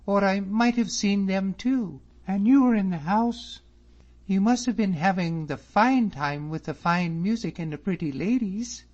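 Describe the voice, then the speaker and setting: A man reading an audiobook, voicing a proud, sharp-tongued young Scottish woman in a high put-on voice. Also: measured pace. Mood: teasing. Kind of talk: audiobook character voice. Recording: slightly muffled recording.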